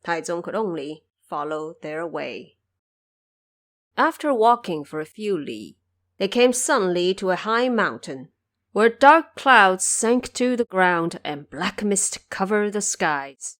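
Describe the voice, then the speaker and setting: A woman narrating a scripted audio drama as a storyteller, in a measured, formal and solemn manner. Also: lowish voice, lively intonation, a bit bright.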